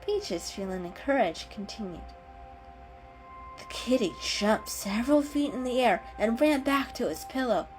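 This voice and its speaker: A woman's voice: a little high, expressive, unhurried, rough recording. A woman narrator giving a dramatic storybook reading. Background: music.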